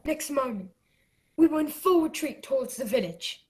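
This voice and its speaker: A woman's voice: sits high, animated, normal speed, a little mellow. A woman playing an earnest, anxious young boy who narrates and acts in a radio play. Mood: tense narrating. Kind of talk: radio play storytelling and acting.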